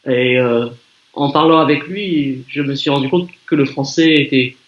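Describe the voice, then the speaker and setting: A man's voice: mid-range voice, normal speed. Give me a talkative man from France on a muffled video call. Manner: relaxed, chatty, with some restarts. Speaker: conversation partner. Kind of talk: casual conversation.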